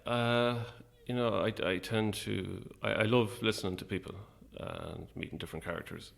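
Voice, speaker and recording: A man's voice: fairly low pitch, steady tone, normal speed, creaky, fairly bright tone. A middle-aged Irish man chatting casually and modestly as an interview guest about his work. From Ireland. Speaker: guest, playwright and actor.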